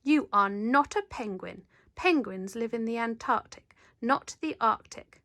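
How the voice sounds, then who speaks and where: A woman voicing an indignant television man in a storybook, scolding with huffy, deliberate emphasis. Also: fairly high pitch, lively intonation, pushed and bright, dry recording, clean studio sound, full character voice.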